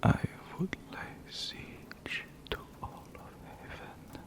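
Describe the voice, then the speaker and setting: A man voicing an ancient, courtly vampire count in a whispered ASMR roleplay, formal and solemn, possessive and lovelorn. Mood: yearning, devoted. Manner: slow, formal, archaic, solemn delivery.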